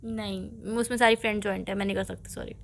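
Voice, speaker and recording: A woman's voice: mid-range voice, fairly even intonation, fast talker, vocal fry, natural voice. A young woman playing a devoted but stubborn girlfriend, pleading and protesting in a conversational phone-call skit. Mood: protesting, playful. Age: young adult.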